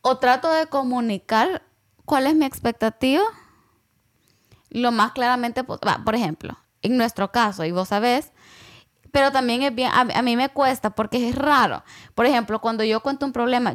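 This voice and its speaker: A woman's voice: fairly high pitch, normal speed. A young adult woman musing aloud in casual conversation, rambling with lots of fillers and slipping in English words.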